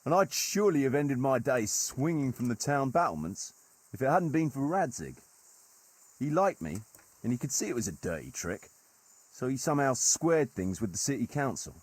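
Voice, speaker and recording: Gruff husky medieval sellsword noble, wry storyteller, really lively pitch, dry close sound. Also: male voice, normal speed, no room sound. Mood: animated storytelling. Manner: colloquial, archaic turns of phrase, wry. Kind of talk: dramatic storytelling in a video game cutscene.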